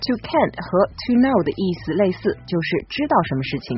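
A woman from China co-hosts a bilingual English lesson. She talks fast with a very animated, swooping melody. The broadcast audio is muffled, with soft music underneath. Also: on the low side, slight background noise. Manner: brisk, lively explaining. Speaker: co-host and translator. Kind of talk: bilingual educational radio presenting.

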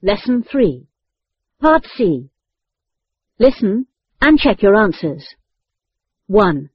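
An announcer reading scripted instructions for a language course, slow and measured. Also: mid-range voice, big pitch swings, breathy edge, dry recording. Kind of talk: scripted textbook audio instructions. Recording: dull lo-fi dry tape recording.

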